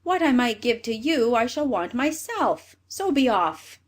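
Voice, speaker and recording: A woman's voice: on the high side, sing-song, unhurried. Woman playing a rude, indignant, swooping young man. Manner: indignant, emphatic, dismissive. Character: clever, selfish, rude young woodcutter sons.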